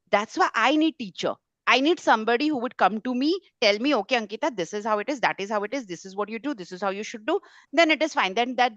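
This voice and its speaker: A woman's voice: on the high side, a bit bright, dry recording. A woman speaking informally as a tutor in an online webinar, stressing points emphatically through repetition. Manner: emphatic, repeats words and phrases for stress. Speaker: French tutor hosting a webinar. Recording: slightly dull, lo-fi webinar audio.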